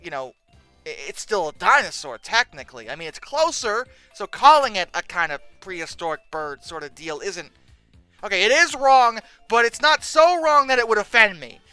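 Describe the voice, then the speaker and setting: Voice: medium pitch, animated, normal speed, bright, forceful tone, clear, resonant tone, no room sound, faint music behind. A host giving casual comedic commentary in an exasperated, sarcastic and incredulous manner. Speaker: host reacting to old book text and pictures. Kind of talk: casual comedic commentary with read-aloud passages.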